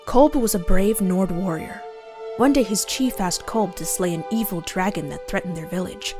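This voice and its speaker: A woman's voice: mid-range voice, normal speed. A woman reading scripted narration for a fantasy storybook. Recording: game music under the voice. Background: fantasy game music.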